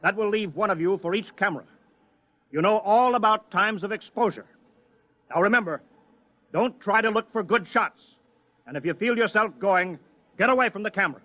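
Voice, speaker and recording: An actor playing a commanding observatory scientist in a radio drama, giving clipped, authoritative orders. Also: male voice, high-pitched, normal speed, long pauses. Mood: urgent command. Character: commanding male observatory scientist, urgent leader. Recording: dull lo-fi radio drama recording.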